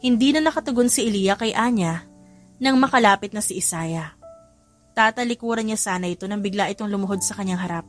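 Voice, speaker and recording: A woman's voice: medium pitch, brisk, faint music behind. A woman with a Filipino accent reading scripted, dramatic story narration.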